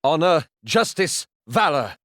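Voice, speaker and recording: An actor playing a noble young knight in a video game, heroic and declamatory, loyal to the crown. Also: male voice, a little high, big pitch swings, takes it slowly, a bit bright, slight background noise, heavily acted. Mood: resolute. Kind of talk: video game hero voicelines.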